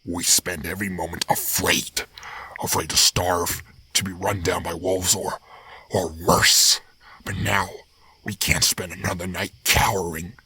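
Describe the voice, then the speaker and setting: A man's voice: low-pitched, flat delivery, a little slow, sharp tone, slightly rough, exaggerated voice. A man voicing a driven wild animal in a dramatic fandub, intense, urgent and solemn. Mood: determined. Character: grim, driven male wild animal with whiskers.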